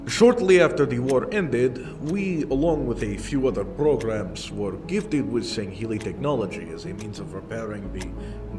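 Man acting a formal doctor with lively intonation. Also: mid-range voice, lightly acted. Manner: formal, explanatory. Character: measured, learned military rehabilitation doctor. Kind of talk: audio drama acting.

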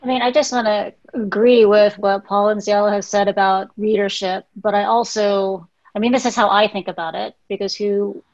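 A woman's voice: medium pitch, fairly even intonation, normal speed, few pauses, no room sound. Middle-aged female editor on a video panel, earnest and reflective, dry and dull audio. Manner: earnest, reflective, trailing into quiet asides. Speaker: journal editor. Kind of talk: panel discussion. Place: small home office. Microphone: video call with earbuds.

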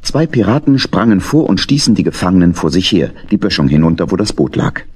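A man tells a children's story as narrator. His voice is deep and husky, with lively movement in the scripted phrasing. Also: fairly quick.

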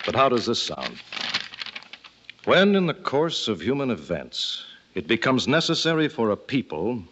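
An actor playing an earnest colonial statesman in an old-time radio drama, speaking formally and deliberately. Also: medium pitch, huge pitch swings, a bit bright. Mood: thoughtful. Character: Thomas Jefferson, thoughtful, earnest colonial statesman drafting aloud. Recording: muffled, noisy old radio recording.

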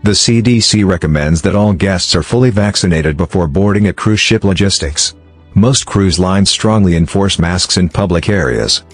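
A man reading a scripted explainer narration in a measured, formal way. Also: low voice. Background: background music.

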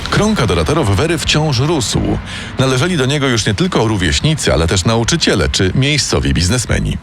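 A man's voice: medium pitch, very animated, brisk. A man giving scripted true-crime narration as a dramatic storyteller. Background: music bed.

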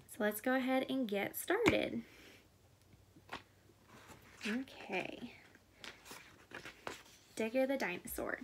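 A young woman, a pediatric therapist, reading a picture book aloud to children and asking them questions, recorded in a small living room at home. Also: fairly high pitch. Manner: warm, slow, teacherly, asks kids questions. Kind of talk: children's story time read-aloud.

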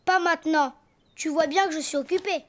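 A performer voicing a cheerful, eager little boy donkey in a children's cartoon, childlike and expressive. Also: high-pitched, fairly quick. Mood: absorbed, playful.

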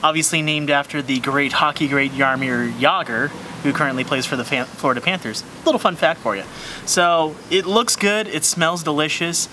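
A young man with a slightly high, bright voice talks through a beer tasting on a sunny patio. He is enthusiastic and descriptive, often repeating words as he searches for them. Faint outdoor sound sits behind him. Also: moderate pace, slight background noise. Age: young adult. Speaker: beer review host. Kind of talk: casual beer tasting review.

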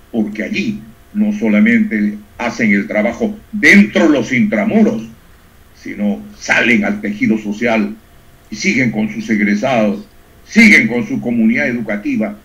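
An older man gives a formal closing speech in a deliberate, oratorical manner, in short measured phrases. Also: on the low side, expressive, pushed and bright. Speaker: education institute director giving a closing speech. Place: indoors on a group video call. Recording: muffled, lo-fi video call audio.

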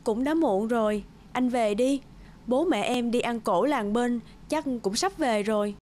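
A woman playing a gentle young village woman in a cartoon folktale, earnest, loving and emotional. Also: fairly high pitch, unhurried, close to an everyday voice. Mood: tender. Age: young adult. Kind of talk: animated folktale acting.

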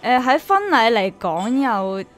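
A woman's voice: a little high, very animated, a little slow, fairly bright tone. A woman with a Hong Kong accent playing a hesitant, good-natured young woman in a radio drama, stammering and flustered. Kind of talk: Cantonese radio drama acting.

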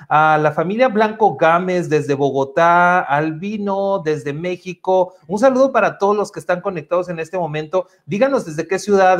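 A man in his thirties hosting a live webinar in a chatty, upbeat, informal way over a headset on a video call. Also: sits high. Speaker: host of a study-abroad webinar. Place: indoor room, on a video call. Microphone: wired earphone headset.

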